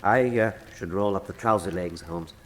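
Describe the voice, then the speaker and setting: A man's voice: deep voice, normal speed, lightly acted. A man playing a composed, learned cardinal in a radio drama, speaking formally with a conspiratorial air. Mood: grave. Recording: long hall-like reverb.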